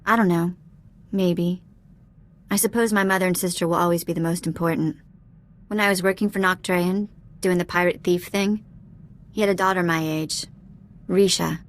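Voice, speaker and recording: Actress as a low-voiced cheeky young alien thief. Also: female voice, fairly even intonation, moderate pace, pauses often, lightly acted. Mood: wistful. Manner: casual, slangy, candid. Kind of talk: video game dialogue acting.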